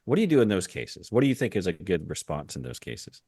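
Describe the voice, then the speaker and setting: A man's voice: deep voice, wildly swooping pitch, fast talker, mellow, breathy edge, no echo, clean studio sound. A middle-aged American man interviewing a guest conversationally as a podcast host. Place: indoor office on a video call.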